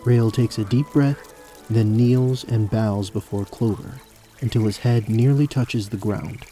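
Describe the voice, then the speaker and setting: A man's voice: on the low side, unhurried, dark tone, soft background music. A man narrating a scripted fantasy story as the narrator of an audio drama.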